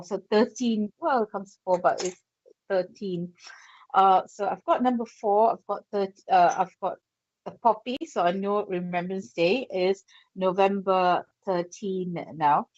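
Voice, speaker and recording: A woman with a Yorkshire accent, working as a psychic medium, speaks slowly and hesitantly, pausing on fillers as she thinks aloud. The recording is dry and a little dull, with no room sound. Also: medium pitch, a little mellow, no echo. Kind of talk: live psychic reading on air.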